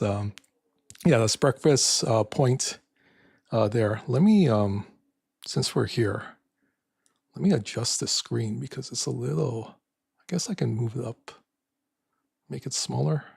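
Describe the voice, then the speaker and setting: Raspy, gravelly older man, soft and halting. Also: mid-range voice, no echo, clean studio sound. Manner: soft-spoken, halting, with many fillers. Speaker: live-stream host. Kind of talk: casual live-stream chat.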